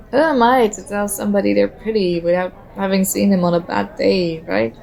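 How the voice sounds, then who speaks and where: A woman's voice: medium pitch, vocal fry, a little mellow, poor audio. A woman playing a very shy, nervous young stranger in an ASMR roleplay, talking hesitantly and self-consciously as she overthinks. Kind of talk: ASMR roleplay, soft conversational acting.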